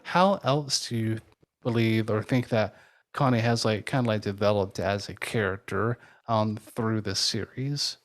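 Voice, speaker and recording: A man co-hosting a conversational interview, speaking in a halting, tentative way. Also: lowish voice, fairly even intonation. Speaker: podcast co-host interviewing a guest.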